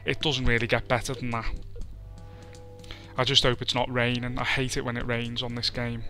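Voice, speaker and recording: Scouse man, very bright tone, chatty gaming commentary, faint music behind. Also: mid-range voice, level tone, normal speed. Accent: Scouse (Liverpool). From Liverpool, England. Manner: chatty, self-deprecating, rambling. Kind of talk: casual let's play commentary.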